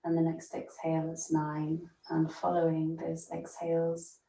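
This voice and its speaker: A mellow woman's voice, recorded in a roomy, echoing space, guides a meditation. She speaks very slowly and gently, leaving long pauses as a yoga nidra teacher does. Her delivery stays soothing and very even. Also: sits low. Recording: roomy echo, distant from mic.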